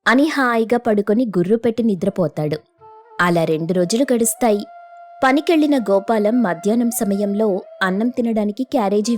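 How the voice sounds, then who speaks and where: Female narrator for moral tales, mid-pitched, scripted storytelling with faint music underneath. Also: a little mellow.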